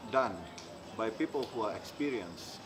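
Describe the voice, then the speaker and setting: A middle-aged man from Indonesia, speaking haltingly in an interview and often restarting as he searches for words. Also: on the low side, animated, a crowd in the background. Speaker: interviewee, maker. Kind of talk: casual interview talk. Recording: clear background noise, insects.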